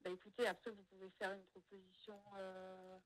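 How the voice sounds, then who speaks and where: A woman's voice: mid-range voice, level tone, moderate pace. A woman real estate agent on a phone call, hesitant and stammering, answering with caution.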